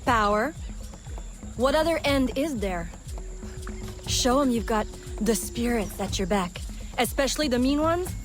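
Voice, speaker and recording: Wry, high-voiced worldly smuggler woman. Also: expressive, unhurried, long pauses, faint music behind. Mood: wry, knowing. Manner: teasing, knowing, peppered with French. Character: wry, worldly female smuggler guiding an ally. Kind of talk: scripted video game cutscene acting.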